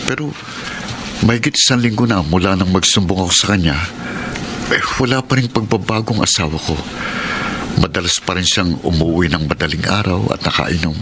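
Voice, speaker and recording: A very noisy radio drama recording carries the low voice of a wounded, suspicious Filipino husband. He speaks earnestly, with aggrieved weight and dramatic pauses. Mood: aggrieved, confiding. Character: troubled, wounded husband suspecting his wife of cheating.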